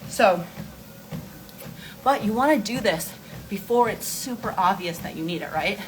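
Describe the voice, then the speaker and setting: A woman of about forty talks to her followers in a casual live-stream style, at a middle pitch. She is candid and informal, repeating words for emphasis. The audio carries clear noise behind her voice. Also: expressive, measured pace, poor audio. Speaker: host of a beauty and wellness live stream.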